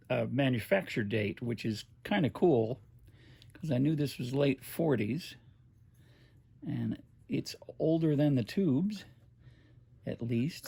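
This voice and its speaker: A man giving a casual hands-on demonstration, talking in an unhurried, halting way as he works. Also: medium pitch, gravelly, darkish tone, breathy edge. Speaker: host of a vintage radio restoration video.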